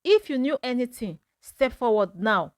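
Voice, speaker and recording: A woman's voice: fairly high pitch, lively intonation, moderate pace, dry recording, clean studio sound, lightly acted. A woman voicing a stern, commanding male village chief in a storybook folktale.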